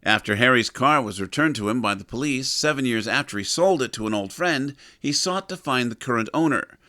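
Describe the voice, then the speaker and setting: A man's voice: mid-range voice, expressive, a little fast, bright, forceful tone. A man playing a wry narrator, reading scripted narration for a domestic audio comedy.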